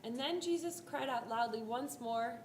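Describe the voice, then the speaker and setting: High-voiced woman narrating in a roomy hall. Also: moderate pace, slight background noise. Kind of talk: dramatic scripted Bible narration. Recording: roomy live hall, faint music.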